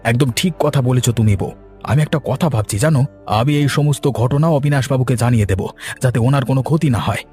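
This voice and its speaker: A man voicing an excitable, dutiful village husband in a cartoon, with faint music behind. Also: mid-range voice, fast, natural voice. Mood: agitated, earnest. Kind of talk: Bengali cartoon voice acting.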